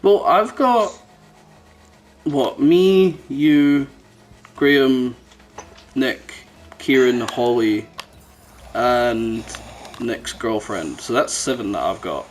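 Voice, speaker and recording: A man's voice: medium pitch, expressive, drawn-out words, long pauses. A young man co-hosting a podcast in casual, blunt, sweary banter. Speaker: podcast co-host.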